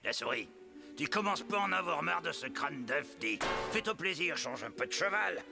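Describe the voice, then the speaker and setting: A swaggering young cartoon greaser, high for a male voice and gravelly. He taunts and sneers in retro slang over loud music. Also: lively intonation, moderate pace. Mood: sneering. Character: cocky, taunting teenage greaser rival.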